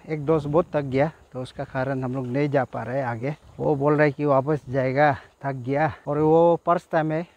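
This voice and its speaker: A man's voice: a little high, a little mellow, dull audio. A man, chatting casually to camera as a vlogger, repeating himself and often exclaiming. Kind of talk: casual vlog narration to camera. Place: outdoors by a jungle river in the rain.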